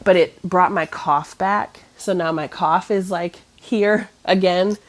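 A woman's voice: on the low side, fairly even intonation, slow. Stuffy-voiced woman in her thirties. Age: 30s. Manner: rambling, lots of fillers and restarts. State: stuffy, nasal from a cough. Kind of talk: casual chat.

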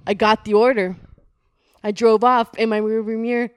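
A woman's voice: on the high side, steady tone, normal speed, clean tone, no echo, natural voice. A woman playing a hostile bad cop who goads and swears at a suspect during an interrogation. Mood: menacing. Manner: sneering, goading, repeats "huh?", swears.

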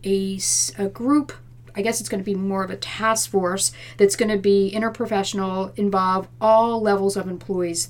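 A woman's voice: mid-range voice, darkish tone. Earnest woman explaining plans in an interview. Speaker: chief nursing officer, interview guest. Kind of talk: conversational interview answers about workplace plans.

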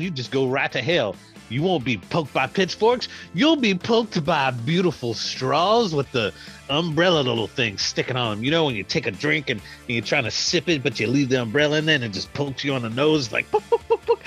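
A man's voice: a little high, fairly bright tone. A man improvising a parody radio commercial as a comedy performer, with folksy huckster patter.

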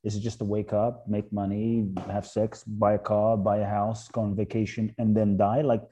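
A middle-aged man from Ghana, a guest author speaking in a thoughtful, halting way about spiritual ideas on a podcast. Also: lowish voice, flat delivery, dark tone, breathy edge. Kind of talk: reflective podcast conversation.

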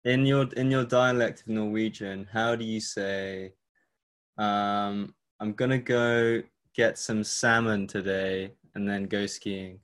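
A man interviewing a guest, asking questions in a relaxed, curious way. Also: fairly low pitch, takes it slowly. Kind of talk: casual interview chat.

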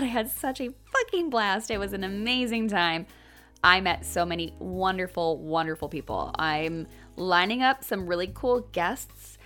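A woman's voice: medium pitch, fairly bright tone. A woman hosting a podcast, speaking frankly and casually while sounding congested from a cold. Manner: frank and candid, swears casually. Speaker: podcast host. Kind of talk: casual podcast intro monologue.

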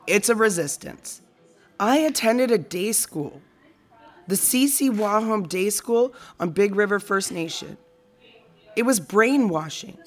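A woman's voice: mid-range voice, lightly acted. A woman playing a reflective, frustrated teacher in a naturalistic audio drama conversation. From Canada.

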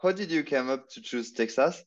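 A man's voice: medium pitch, lively intonation, moderate pace, muffled recording. A man, a podcast guest speaking hesitantly and searching for his words. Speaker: podcast guest, marketing consultant. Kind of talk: casual interview chat.

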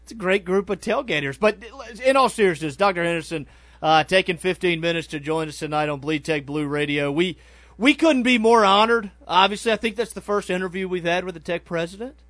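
A man's voice: high-pitched, fairly quick, fairly bright tone, no echo. A man co-hosting a college sports radio show, interviewing a guest and chatting casually.

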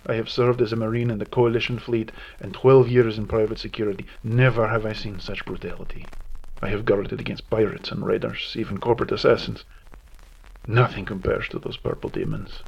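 A man's voice: fairly low pitch, vocal fry, a little mellow, slightly rough, muffled recording. A man playing a grim, weary veteran security commander, solemnly recording a formal military log in an audio drama. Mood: haunted, bitter.

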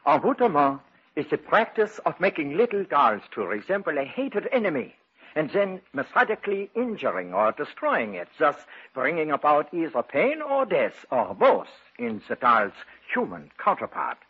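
A husky, high-pitched grave occult scholar. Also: male voice, huge pitch swings, heavily acted. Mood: grave, explaining. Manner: formal, deliberate, ominous explaining. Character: solemn learned male occult researcher, grave and knowing. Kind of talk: old-time radio drama acting. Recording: muffled tinny 1940s-50s radio broadcast.

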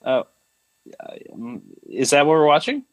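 A man's voice: fairly high pitch, huge pitch swings, unhurried, no echo, poor audio. A man co-hosting a podcast in casual chat, playfully riffing with short quips. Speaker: podcast co-host.

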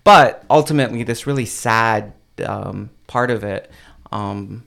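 Low gravelly-voiced man telling a personal story. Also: measured pace, fairly bright tone. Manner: reflective storyteller, many fillers. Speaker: guest. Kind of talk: casual podcast chat.

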